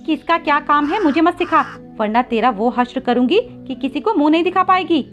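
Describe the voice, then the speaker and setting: A woman acts out a spoiled, contemptuous young female pigeon from a children's cartoon story. The voice is very high and swoops with taunting, bossy scorn. Music plays behind a slightly dull recording. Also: expressive, a little fast, a bit bright. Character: haughty, bullying rich young female pigeon, sneering city girl.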